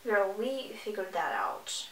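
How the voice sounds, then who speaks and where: A woman's voice: mid-range voice, wildly swooping pitch, slow, a bit bright, a little hiss or hum. A sarcastic, exasperated woman giving casual reaction commentary as she hosts a book review video. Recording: echoey room, distant mic.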